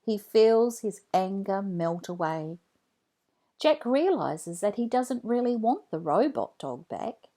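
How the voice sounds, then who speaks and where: Woman narrating a children's story, lively and unhurried. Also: medium pitch. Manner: deliberate, animated phrasing for children. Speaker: storyteller reading a children's book aloud. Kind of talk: expressive read-along story narration.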